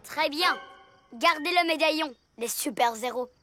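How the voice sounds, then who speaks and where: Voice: high voice, unhurried. Boastful, sneering boy ninja villain. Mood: sulky, defiant. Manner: taunting, sneering insults. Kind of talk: animated children's series dub. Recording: music behind the voice.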